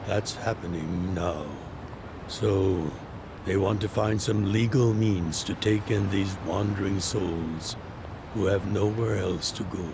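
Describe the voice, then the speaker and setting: Weary old former mob boss, gravelly, slow and low, game cutscene over city noise. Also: male voice. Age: older. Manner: slow, measured, reflective. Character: older retired male yakuza boss, weary and fatherly. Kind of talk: video game cutscene dub.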